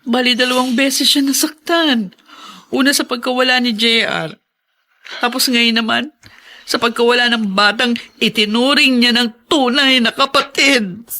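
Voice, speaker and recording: A woman's voice: a little high, steady tone, a little slow, sharp tone. A woman playing an anguished, protective mother in a radio drama, plaintive and insistent. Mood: worried, sad.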